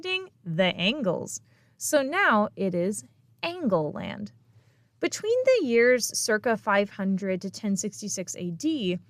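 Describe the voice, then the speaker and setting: A woman's voice: on the high side, fairly bright tone, dry recording. A woman hosting a podcast and giving a scripted history lesson in a playful, emphatic way, with little laughs. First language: English. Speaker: podcast host.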